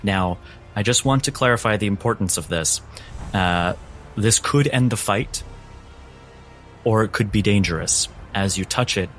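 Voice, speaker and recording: A man narrating a tabletop roleplaying game as game master, with deliberate, dramatic phrasing. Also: fairly low pitch, fairly bright tone.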